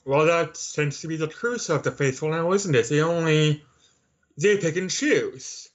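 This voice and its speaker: A man's voice: a little high, expressive, unhurried. A man from Canada speaking as an interview guest in an assertive, opinionated, conversational way. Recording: slightly dull lo-fi audio.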